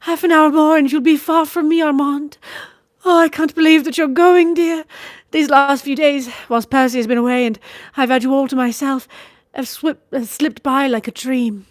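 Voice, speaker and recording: A woman's voice: high voice, fairly even intonation, normal speed, no room sound, pitched above the performer's own voice, lightly acted. A woman voicing a passionate young noblewoman who pleads and turns bitter, in a dry audiobook reading. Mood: pleading. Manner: vehement, pleading, near tears.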